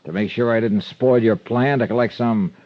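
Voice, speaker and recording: An actor playing a tough, wisecracking insurance investigator, sardonic and clipped, in a golden-age radio crime drama. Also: male voice, mid-range voice, moderate pace, close to an everyday voice. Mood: sardonic, defiant. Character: hard-boiled, wisecracking male insurance investigator, cool under threat. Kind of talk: 1950s radio drama acting. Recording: dull vintage radio recording.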